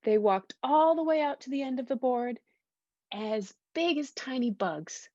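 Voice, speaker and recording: A woman reading a storybook aloud to young children, expressive and simply phrased, stopping to ask them questions. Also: high-pitched, unhurried, no echo. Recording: dull, lo-fi sound.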